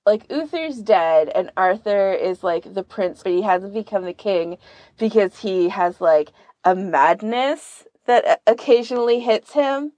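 Animated woman podcaster, dry close sound. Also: medium pitch, measured pace, no room sound. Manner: informal, animated, laughs easily. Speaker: podcast host. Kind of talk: casual chat, anecdotes.

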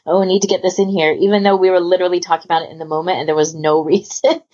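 A woman's voice: fairly low pitch, steady tone, racing, dark tone. A woman chatting casually as a podcast co-host, in an emphatic, rambling way. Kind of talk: casual chat. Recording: dull lo-fi voice recording.

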